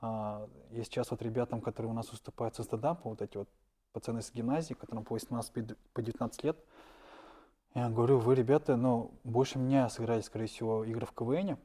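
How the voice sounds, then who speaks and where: A young man, a stand-up comedian, answering interview questions casually and letting his phrases trail off quietly. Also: medium pitch, fairly even intonation, fast talker.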